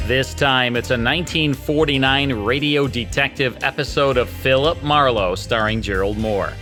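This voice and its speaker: Man, podcast host, scripted intro, bright tone, polished reading, faint music behind. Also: medium pitch, normal speed. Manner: polished, announcer-like delivery.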